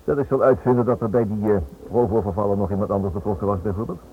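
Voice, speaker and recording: An actor playing a stubborn, suspicious Dutch writer in a radio play, wry and probing with hesitant pauses, on an old thin recording. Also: male voice, lowish voice, steady tone, narrow, tinny audio, a little hiss or hum, close to an everyday voice.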